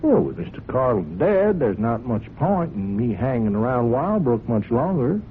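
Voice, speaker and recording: An actor playing a sly, folksy farm hand turned blackmailer in a radio drama, falsely amiable and insinuating. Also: male voice, mid-range voice, very animated, normal speed, natural voice. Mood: mock-sympathetic, threatening. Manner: insinuating, falsely amiable, chuckling, hesitant phrasing. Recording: muffled, noisy old radio broadcast recording.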